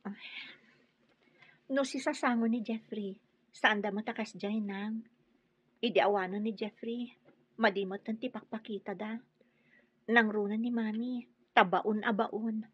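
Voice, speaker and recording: An actress playing a meek, wronged young daughter-in-law in an Ilocano radio drama, plaintive and earnest, with an Ilocano accent. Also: female voice, mid-range voice, steady tone, unhurried, long pauses, breathy edge, highs cut off. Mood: gentle, uneasy.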